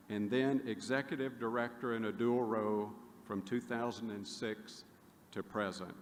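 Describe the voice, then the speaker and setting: Formal male official in reverberant hall. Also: medium pitch. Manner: formal, measured, reading. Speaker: university official. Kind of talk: formal ceremony presentation. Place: large hall. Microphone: podium mic. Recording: echoey hall, faint noise.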